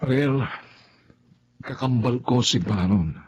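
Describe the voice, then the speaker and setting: An actor playing an earnest, easily flustered young boyfriend in a Tagalog radio drama, on a muffled recording. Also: male voice, mid-range voice, slow, darkish tone, husky. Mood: explaining.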